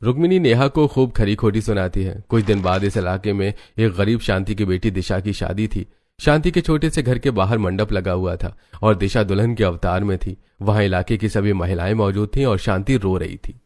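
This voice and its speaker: गहरी आवाज़ वाला पुरुष कथावाचक. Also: male voice, on the low side, normal speed, darkish tone. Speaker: narrator. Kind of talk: scripted story narration.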